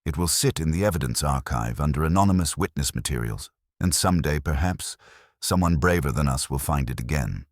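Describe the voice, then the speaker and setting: A man voicing a grave, reflective English police detective in an audio mystery story, deliberate and understated. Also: a bass voice, lively intonation, no echo, studio quality.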